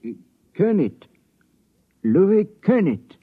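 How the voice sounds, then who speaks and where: A man's voice: high-pitched, big pitch swings, slow, breathy edge, pitched above the performer's own voice, heavily acted. A man voicing a meek, shabby old job seeker, hesitant and stammering, in a radio drama. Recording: muffled, tinny vintage radio drama recording.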